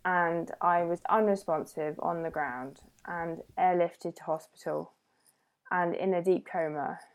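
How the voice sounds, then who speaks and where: A woman's voice: fairly low pitch, fairly even intonation, measured pace. A woman in her thirties, a podcast guest, telling her story in a chatty way with restarts and self-corrections. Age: 30s. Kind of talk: casual interview conversation.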